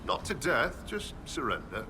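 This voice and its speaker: Proud taunting warrior trainer in a fantasy game, medium pitch, stern, noisy ambience behind him. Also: male voice, huge pitch swings, a little slow. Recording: clear ambient rumble behind voice.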